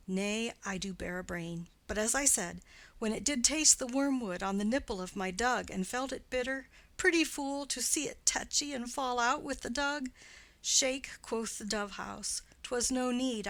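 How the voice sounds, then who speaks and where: A woman voicing a garrulous, fond old nurse in a dramatic play reading, rambling and jumping up loud on the lines she quotes. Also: mid-range voice, no room sound, close to an everyday voice. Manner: chattering, swooping up loud on quoted exclamations.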